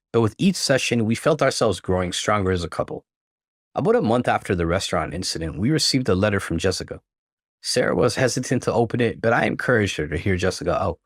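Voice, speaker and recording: A man narrates a first-person story with an expressive, emphatic delivery. His voice sits on the low side and swings widely in pitch. The recording is close and dry. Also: no echo.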